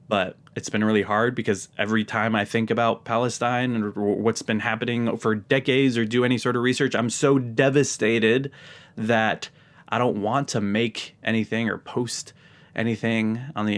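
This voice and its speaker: A man's voice: fairly low pitch, fairly even intonation, moderate pace. An American man speaking earnestly and emphatically, as a host giving religious commentary straight to his viewers. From United States. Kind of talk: earnest talk to camera.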